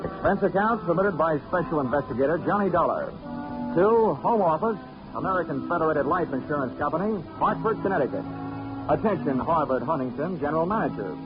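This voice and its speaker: Actor doing sardonic detective narrator, a bit high, muffled old radio with music. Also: male voice, animated, brisk, close to an everyday voice. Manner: dry, sarcastic narration. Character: sardonic male insurance investigator.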